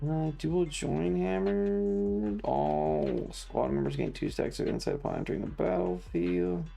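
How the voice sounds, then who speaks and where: A woman livestreamer chatting casually over a video game in a blunt, sweary, deadpan manner, with game music behind her. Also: sits low, a little slow, creaky. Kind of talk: casual game streaming chat.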